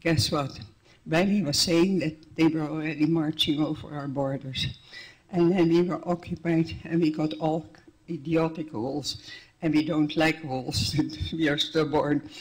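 A woman's voice: low voice, fairly even intonation, measured pace, slightly rough, low-quality recording. An older woman from the Netherlands with a Dutch accent, giving a plainspoken personal account to an audience. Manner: plainspoken, restarts sentences, wry. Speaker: guest speaker.

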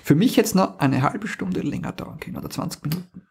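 A man co-hosts a podcast in casual chat, agreeable and quick to chime in. He talks fast, and his voice is raspy and husky. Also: high voice, animated. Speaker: podcast co-host.

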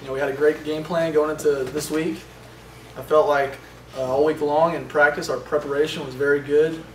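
A young man, a college quarterback at a postgame press conference, answering questions in a halting way with many fillers and restarts. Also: on the high side, level tone. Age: young adult. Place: indoor press room in front of a sponsor backdrop. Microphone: table microphones from a short distance. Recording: roomy, distant sound with faint noise.

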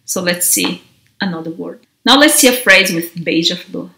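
Female teacher in her 30s, bright tone, unhurried and gentle. Recorded in a bare, echoey room. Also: fairly low pitch, animated, creaky. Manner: gentle, enunciates each word slowly. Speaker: language teacher. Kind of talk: slow, careful teaching to camera. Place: large, bare indoor room. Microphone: camera mic at desk distance. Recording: roomy echo of an empty room.

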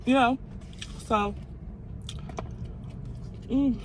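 A woman's voice: on the high side, fairly even intonation, extremely slow, noisy background. A woman, talking casually to camera from a parked car, blunt and outspoken. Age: 20s. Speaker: vlogger. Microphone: phone camera on dashboard.

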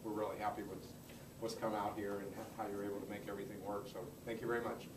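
A middle-aged man giving an informal, halting thank-you speech as a council member. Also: on the low side, little pitch movement. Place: library community room, indoors. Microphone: far camera microphone. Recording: distant camera, echoey room, clear noise.

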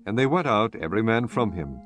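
A man's voice: lowish voice, sing-song, fairly quick, fairly bright tone. A man narrating scripture as a scripted dramatized reading, in a formal, solemn storytelling manner. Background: background music.

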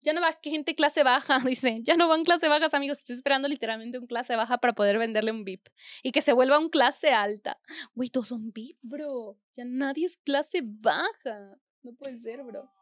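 A woman's voice: high voice, moderate pace, fairly bright tone, no room sound, studio quality. A young woman with a Mexican accent, chatting casually and thinking aloud while she plays a game on stream. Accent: Mexican Spanish. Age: young adult. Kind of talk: casual gameplay commentary. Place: small indoor room at home.

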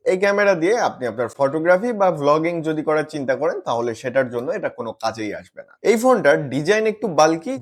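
A man presenting a product review to viewers as a gadget reviewer, mixing in English words and phrases. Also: fairly high pitch.

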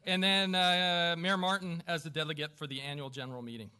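A man speaking as mayor at a council meeting, running through formal procedure in a halting, self-deprecating way with informal asides. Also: fairly high pitch, little pitch movement, bright, forceful tone, clear, resonant tone. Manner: halting, self-deprecating, stumbling over names. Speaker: newly elected mayor chairing a council meeting. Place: council chamber with a small audience.

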